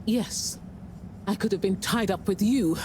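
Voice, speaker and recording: A woman's voice: medium pitch, measured pace, a little mellow, slight background noise, natural voice. An actress playing an imperious middle-aged female ship CEO in a video game, haughty, smug and dry. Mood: indignant. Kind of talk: scripted video game dialogue.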